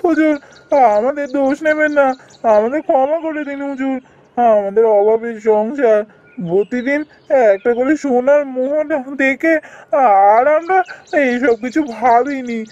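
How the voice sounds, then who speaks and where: A performer playing a poor, gentle, anxious village husband in a fairy-tale cartoon, earnest and deferential in manner. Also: high-pitched, a little slow, muffled recording. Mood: pleading.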